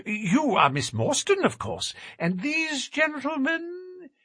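A thin, shrill, nervous fop. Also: male voice, wildly swooping pitch, takes it slowly, pushed and bright, no echo, clean studio sound, heavily acted. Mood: fussy, nervous. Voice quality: thin, high voice. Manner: twitchy, fussy, anxious. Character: thin-voiced nervous fussy young man. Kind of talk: audiobook character reading.

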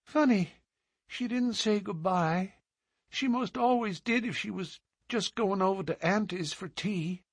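A man reading aloud and voicing a grave, innocent little girl of about five in a soft, hushed lilt. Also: high-pitched, a little slow, slightly rough, dry recording, clean studio sound, voice pushed up, heavily acted.

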